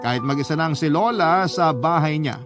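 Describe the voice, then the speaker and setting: A Filipino man with a fairly high voice narrates a heartfelt letter in scripted radio drama style. Instrumental music plays clearly behind him. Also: normal speed.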